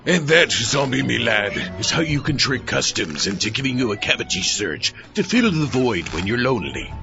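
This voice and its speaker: A blustering, grandiose pirate captain in a comic audio play. His voice is gravelly and swings widely in pitch, with theatrical pirate diction. Loud music plays behind him. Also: very animated, normal speed. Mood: pompous, nosy. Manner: pompous, theatrical pirate talk. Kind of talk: comedic audio drama acting. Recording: dull band-limited audio under loud music.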